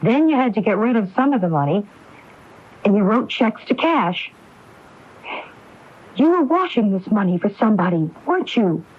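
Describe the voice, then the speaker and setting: A woman's voice: mid-range voice, big pitch swings. Probing woman on muffled old radio. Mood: accusing, grieved. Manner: probing, deliberate, accusing questioning. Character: determined grieving woman confronting her brother over fraud. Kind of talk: 1980s radio drama acting. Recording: muffled 1980s AM radio broadcast tape.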